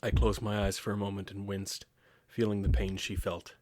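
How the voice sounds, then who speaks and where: Man acting very deep, solemn grim reaper. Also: little pitch movement, normal speed, a little mellow, dry recording, natural voice. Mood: grave. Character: grim reaper narrator, centuries old, detached, puzzled. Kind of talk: first-person audio drama narration. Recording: music behind the voice. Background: music with guitar.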